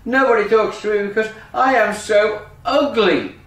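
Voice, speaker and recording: Man acting young storybook duckling, high voice, plaintive, rejected, pleading, distant echoey recording. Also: normal speed, a bit bright. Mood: sad, insistent. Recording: echoey room, distant microphone.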